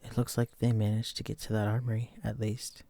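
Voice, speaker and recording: A man's voice: lowish voice, completely flat delivery, fairly quick, vocal fry, warm tone, dry recording, studio quality. A man playing a wary, protective psychic escapee in an immersive audio drama, speaking directly to a companion. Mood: wary, somber. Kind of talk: immersive roleplay audio drama, addressing the listener.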